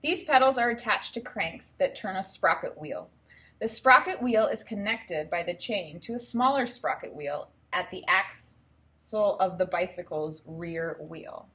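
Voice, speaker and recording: A woman teacher giving an instructional read-aloud, explaining and thinking out loud as she goes. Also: lowish voice, lively intonation, measured pace.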